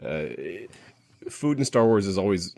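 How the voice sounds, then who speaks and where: Male podcast co-host, very low voice, hugely animated inflection, loose joking chat. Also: a little slow. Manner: joking, rambling banter. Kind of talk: casual chat.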